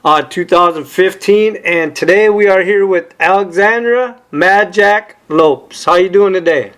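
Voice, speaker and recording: A man hosts an interview show, and his voice is pitched noticeably high for a man. He asks his guest direct, conversational questions and checks her facts out loud. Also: unhurried.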